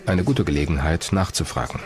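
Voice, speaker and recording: A man reading scripted documentary narration in a measured tone. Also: a bass voice, expressive, a crowd in the background.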